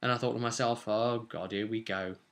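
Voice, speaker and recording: A man's voice: mid-range voice, steady tone, normal speed, a bit bright. A British man in his twenties casually explaining as a game master on a vlog, rambling along with emphatic bursts. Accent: British English. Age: 20s. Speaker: game master, video host. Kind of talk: casual explanatory vlog about tabletop role-play. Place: small home room, indoors.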